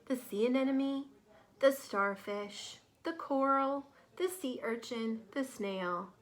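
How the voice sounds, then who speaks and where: A woman reading a children's storybook aloud in an expressive, careful way, pausing to explain things to young listeners. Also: high voice. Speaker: teacher reading a picture book to children.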